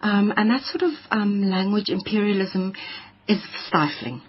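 Lady poet, breathy voice, lots of ums, muffled old radio feel. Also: medium pitch, unhurried. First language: Afrikaans. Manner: thoughtful, many ums and restarts. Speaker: poet, interview guest. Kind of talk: reflective interview answers.

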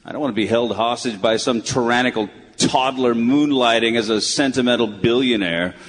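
An actor playing a weary, bitter old retired broadcaster in a live radio play, with a curmudgeonly, dismissive manner. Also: male voice, mid-range voice, moderate pace, lightly acted. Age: older. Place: town hall stage before a live audience. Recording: noisy live hall, long reverb.

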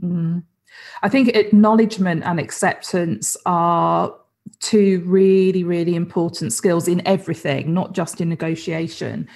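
Low-voiced woman interviewer. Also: steady tone, a little slow, a little mellow. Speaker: interview host. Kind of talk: conversational interview questions.

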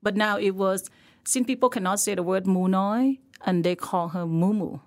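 A middle-aged woman with a Thai accent speaks in a medium-pitched voice. She talks in halting, searching phrases, stopping to find the words. A light laugh slips in now and then. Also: darkish tone. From Thailand. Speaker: chef and restaurant owner. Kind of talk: casual interview chat.

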